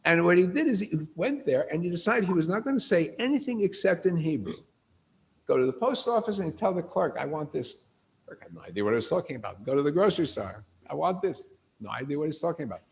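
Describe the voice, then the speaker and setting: Gravelly older man lecturing with lively questions. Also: fairly high pitch, quick pace. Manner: animated storyteller, asks the room questions. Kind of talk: informal lecture to a group.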